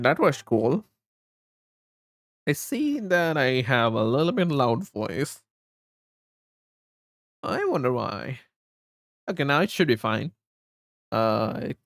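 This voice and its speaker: Slow-talking man streamer, smooth clear voice. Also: fairly high pitch, a bit bright, dry recording, studio quality. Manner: halting, rambling, often repeats himself. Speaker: live streamer and host. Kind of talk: casual livestream chat with viewers. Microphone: close streaming microphone.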